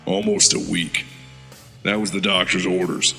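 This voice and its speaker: Casting: a gruff-calm veteran super-soldier, low voice, audio drama over soft music. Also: male voice, normal speed, exaggerated voice. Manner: steady recounting, low-key storytelling. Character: Major Liberty, veteran male super-soldier recounting his past.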